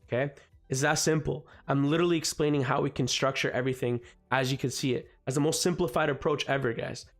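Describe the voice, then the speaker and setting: A man with a slightly raspy voice from the cold hosting a live trading stream, chatting casually and filling gaps with "guys" and "you know what I mean". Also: medium pitch, steady tone, fast talker. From Canada. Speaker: forex trading livestream host. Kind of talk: live market commentary. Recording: faint music behind.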